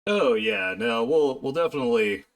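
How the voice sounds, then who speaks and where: A man chatting casually on an art live stream, talking haltingly and half to himself as he works. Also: medium pitch, expressive. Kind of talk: casual live-stream chat while drawing.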